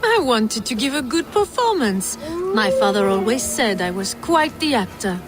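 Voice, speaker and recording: High-voiced, spirited noblewoman. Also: very animated, moderate pace, loud music behind the voice. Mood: thrilled, playful. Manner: playful, refined. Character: spirited, theatrical noble lady relishing danger. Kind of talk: video game acting.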